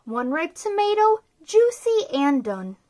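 A woman's voice: very high voice, very animated, a little slow, darkish tone. A woman in her thirties hosting a children's story time, reading a picture book aloud in a bubbly, sing-song way from a small room. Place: small decorated room indoors.

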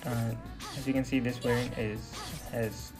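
A young man giving casual gameplay commentary in a hesitant, halting way. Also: medium pitch, level tone. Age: young adult. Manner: hesitant, halting delivery with trailing phrases. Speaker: gaming video creator. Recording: loud music and game audio behind. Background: loud music.

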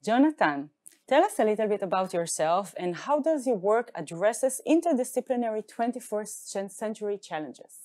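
A woman's voice: mid-range voice, lively intonation, normal speed, dark tone, no echo. A woman moderating a panel discussion, politely introducing each speaker. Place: television studio set.

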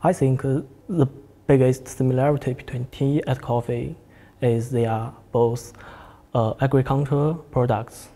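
A man in his early twenties speaking haltingly as a student guest in a panel discussion. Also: mid-range voice, steady tone, dark tone, in a large hall. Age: early 20s. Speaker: student guest, coffee club leader. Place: large indoor cafe-like room. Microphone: lapel.

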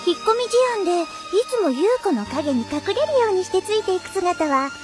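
A woman's voice: extremely high pitch, lively intonation, a little fast, music in the background. A woman voicing a cheerful, self-admiring schoolgirl who narrates a cartoon, playful and gushing. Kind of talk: anime narration.

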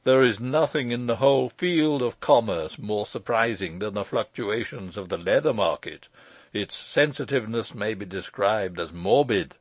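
A man's voice: lowish voice, moderate pace, no room sound, lo-fi sound, lightly acted. A man voicing a pompous, fussy old uncle in an audiobook story, speaking in a formal, long-winded and pedantic way. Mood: bitter, pedantic.

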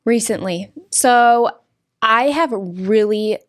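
A woman's voice: on the high side, slow pace. A young woman guest on a studio podcast, speaking earnestly and emphatically about her faith, close to a desk microphone. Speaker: pro-life activist guest. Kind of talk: podcast interview. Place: studio with green curtains. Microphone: desk podcast mic on boom arm.

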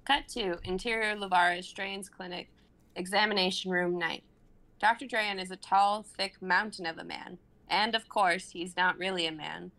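A woman in her twenties narrates a script in a bright, forceful voice. Her pitch sits a little low as she reads out scene directions dramatically. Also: fairly even intonation, normal speed. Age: 20s. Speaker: narrator reading stage directions. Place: home room on a group video call.

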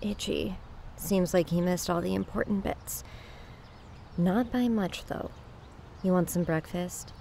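Woman acting a slow, creaky-voiced young daughter. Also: on the low side, mellow, slight background noise. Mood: subdued, casual. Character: gentle, steady grown daughter comforting her mother. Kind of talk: horror audio drama acting.

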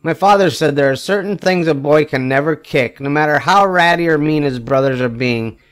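An older man reading a children's picture book aloud expressively, with emphatic phrasing and drawn-out wry punchlines. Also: fairly high pitch, clean tone. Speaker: storybook reader.

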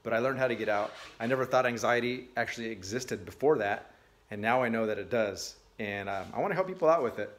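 A man's voice: medium pitch, fast, slight background noise. A man in his thirties talking casually and candidly to a phone camera in his garage, rambling with lots of fillers and restarts. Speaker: vlogger. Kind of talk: casual vlog. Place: cluttered garage, indoors. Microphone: phone at arm's length.

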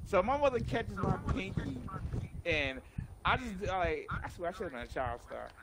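Animated, high-voiced man telling stories. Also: normal speed. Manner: animated, profane, slangy, acts out quoted dialogue. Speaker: gaming channel player telling childhood stories. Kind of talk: casual storytelling over online game chat. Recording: noisy game voice chat with clicks.

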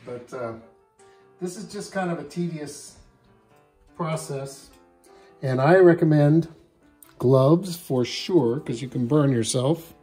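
A man's voice: a little high, husky. Older guy casually walking through a recipe at home, lots of uh's, music under him. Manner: relaxed, with frequent "uh" pauses. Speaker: cooking show host. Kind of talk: casual cooking demonstration. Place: home kitchen, then outdoor backyard grill.

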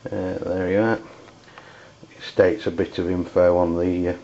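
A man with an English accent giving an unscripted product review in a hesitant, halting way. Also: low-pitched, flat delivery, warm tone. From United Kingdom. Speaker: amateur radio reviewer. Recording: dull lo-fi audio with background noise.

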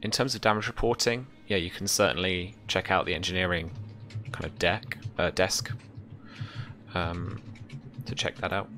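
A young man casually narrating as game master and explaining rules, in a hesitant, halting way, on a video call. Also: low voice, fairly even intonation, sharp tone. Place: indoor room at home, over video call. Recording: faint background noise.

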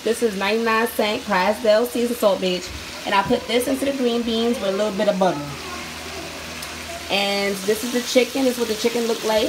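Slangy young woman cooking at home. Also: mid-range voice. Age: young adult. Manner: slangy, chatty, talks straight to viewers. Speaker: cooking vlogger. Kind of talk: casual cooking demo. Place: home kitchen, indoors. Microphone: handheld phone camera. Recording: sizzling pan noise behind. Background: oil frying and sizzling.

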